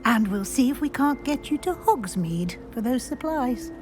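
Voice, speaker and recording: A woman playing a shrewd witch professor in a video game, speaking in a brisk, formal, articulate and gently admonishing way. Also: a little high, expressive, close to an everyday voice. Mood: businesslike. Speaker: teacher. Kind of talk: scripted video game acting. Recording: music behind the voice. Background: background music.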